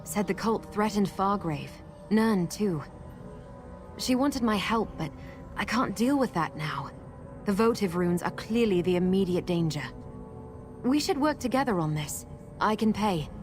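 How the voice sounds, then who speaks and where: An actress playing a guarded, urgent masked woman who protects people from cultists in a fantasy video game, speaking in an earnest, measured way. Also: medium pitch, animated, faint music behind, lightly acted. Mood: urgent, businesslike. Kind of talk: video game character dialogue.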